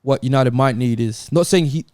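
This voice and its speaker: A man's voice: medium pitch, normal speed, a little mellow, no echo, studio quality. A man chatting casually as a football panellist, explaining his view in a tentative, hedging way. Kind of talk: casual chat.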